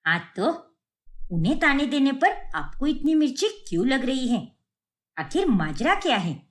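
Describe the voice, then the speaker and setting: A woman's voice: high voice, sing-song, a little slow, voice pushed up. A woman voicing a haughty, bitter older mother-in-law with a sarcastic, taunting way of talking in a cartoon story. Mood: defensive. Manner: taunting, indignant.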